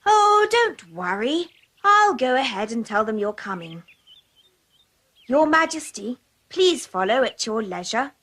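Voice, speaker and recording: A woman's voice: fairly high pitch, very animated, a little slow, a bit bright, exaggerated voice. A woman voicing a clever, confident talking cat, loyal and assured, in a dubbed cartoon fairy tale. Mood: reassuring. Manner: assured, bossy, eager to please. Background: music.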